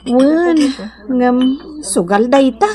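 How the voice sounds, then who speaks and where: A woman's voice: high-pitched, animated, drawn-out words, music in the background, full character voice. A woman with an Ilocano accent playing a reassuring young teacher in love in a radio drama. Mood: concerned.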